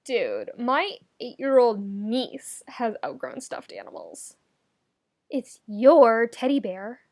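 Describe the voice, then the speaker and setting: A woman's voice: a little high, big pitch swings, slow pace, a little mellow. Woman as young male student, theatrical, easily offended, exaggerated indignant delivery, story character. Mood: mocking disbelief.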